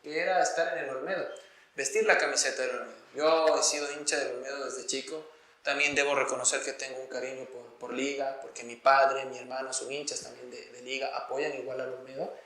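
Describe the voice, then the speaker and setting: Ecuadorian man, interview guest, bright voice, medium pitch, earnest, articulate, roomy echo. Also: fairly even intonation, a little fast. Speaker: interview guest, sports journalist. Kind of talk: conversational interview, reflective explanation.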